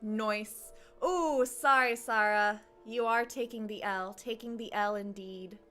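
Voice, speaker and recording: A woman's voice: fairly high pitch, takes it slowly, a bit bright, faint music behind. A woman streamer giving casual, playful live commentary on a game, reacting and teasing as she goes.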